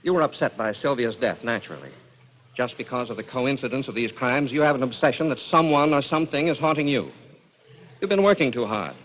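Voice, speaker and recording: An actor playing a practical, protective, sceptical brother in a brisk, firm 1940s radio style. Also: male voice, medium pitch, expressive, fairly bright tone, lightly acted. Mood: firm, reassuring. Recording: noisy vintage radio broadcast.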